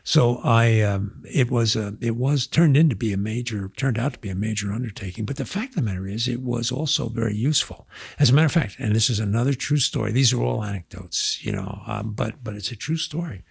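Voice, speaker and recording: An older man, hoarse, telling stories in a relaxed podcast interview with many pauses and fillers. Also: medium pitch, no room sound, clean studio sound. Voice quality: gravelly, husky. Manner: reflective storyteller, halting with fillers. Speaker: university president.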